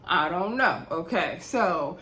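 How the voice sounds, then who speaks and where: A woman's voice: fairly low pitch, expressive, takes it slowly, sharp tone. A woman giving a casual, chatty recap of a TV episode as a vlog host, conversational and opinionated, talking straight to her viewers. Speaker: YouTube reality show recap host. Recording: roomy, echoey indoor sound.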